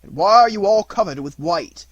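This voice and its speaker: A man's voice: fairly high pitch, very animated, normal speed, no echo, muffled recording, pitched above the performer's own voice. A man voicing a little old cottager in a storybook, blunt and emphatic but kindly. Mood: suspicious. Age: elderly.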